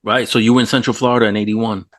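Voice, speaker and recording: New york guy hosting, kinda low voice, dry close sound, swears casually. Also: flat delivery, very fast, no echo. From New York City. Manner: casual, swears, laughs often. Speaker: host. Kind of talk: casual interview.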